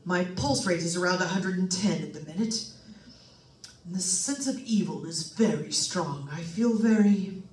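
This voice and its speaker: An actor playing a solemn psychic investigator, a doctor giving formal, precise reports in an old-time radio suspense drama. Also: male voice, high-pitched, unhurried. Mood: breathless, grave. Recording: echoey room, distant mic.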